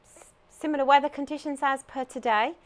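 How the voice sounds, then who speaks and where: A middle-aged Englishwoman with a southern English accent and a fairly high voice answers questions in a TV studio. She keeps her replies short and slips in dry jokes. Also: fairly even intonation, a little fast. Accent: English, southern England. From England. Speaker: guest, ghost walk volunteer. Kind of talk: casual interview chat. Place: local TV studio.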